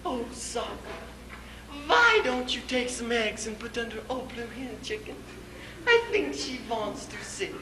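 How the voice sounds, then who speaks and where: A man puts on a sweet, teasing farm wife for a comic monologue. The voice is high and lilting, coaxing a husband along. It sounds echoey, with clear noise. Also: animated, unhurried, full character voice. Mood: sweetly coaxing.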